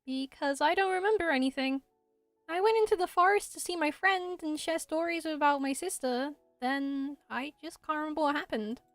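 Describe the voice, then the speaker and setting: A woman's voice: high-pitched, moderate pace, a bit bright, no echo, faint music behind. A woman voicing an earnest, hesitant, innocent young village boy in a game.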